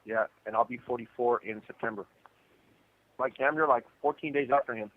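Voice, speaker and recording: A man's voice: mid-range voice, brisk. A man in his forties calling from prison, laid-back and joking. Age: 40s. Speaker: incarcerated guest on a call-in show. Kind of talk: casual phone chat. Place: prison phone. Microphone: phone line. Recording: faint noise on a prison phone line.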